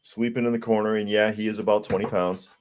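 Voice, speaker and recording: A man hosts a live podcast in a low, slightly hoarse voice, his throat still sore from a recent illness. He talks casually and plainly, reading viewer comments aloud and answering them. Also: steady tone, fast, a little mellow. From United States. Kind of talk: casual live-stream chat.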